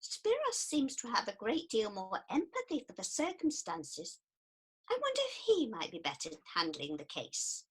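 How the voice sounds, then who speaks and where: A woman's voice: a little high, huge pitch swings, normal speed, husky, exaggerated voice, rough recording. A woman playing an earnest, prim and easily offended counsellor in a radio comedy set in ancient Greece. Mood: matter-of-fact. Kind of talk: radio play acting.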